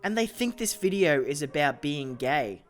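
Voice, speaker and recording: A man's voice: a little high, very animated, moderate pace, soft background music. A man hosting a reaction video, commenting casually and jokingly with sarcastic, cheeky asides.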